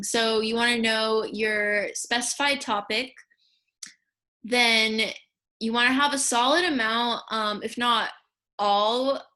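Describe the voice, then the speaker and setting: A woman's voice: on the high side, bright, forceful tone. A young American woman casually talking through an instructional slideshow, explaining things informally with little asides. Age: young adult. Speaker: student speech team officer giving a tutorial. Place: indoors in a room at home, on a webcam.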